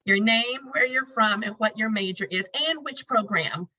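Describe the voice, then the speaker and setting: A woman's voice: fairly high pitch, normal speed, bright, forceful tone. A woman moderating a panel over a video call, chatty and friendly, with many fillers. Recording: dull lo-fi call audio.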